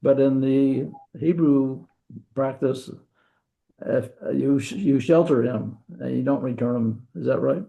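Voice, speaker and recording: A male student with a gravelly voice asks his questions slowly and deliberately. The audio sounds dull and muffled, like an online class. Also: medium pitch, steady tone, warm tone.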